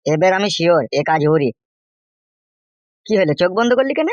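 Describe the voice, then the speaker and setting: A man's voice: high-pitched, big pitch swings, normal speed, dry recording. A man voicing a nosy, exasperated village uncle in a comic cartoon, impatient and questioning, with a rural West Bengal accent. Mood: goading. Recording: dull lo-fi sound.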